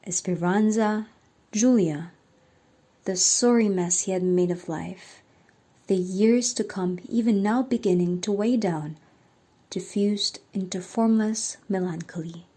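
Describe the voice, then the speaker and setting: Slow-speaking woman narrating a radio drama. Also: medium pitch, warm tone, breathy edge. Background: faint music.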